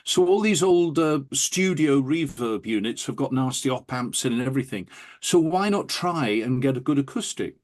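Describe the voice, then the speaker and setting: A man's voice: mid-range voice, animated, normal speed, darkish tone. A man talking enthusiastically and telling anecdotes as an interview guest. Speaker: interview guest, audiophile recording producer. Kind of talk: animated anecdotal interview talk.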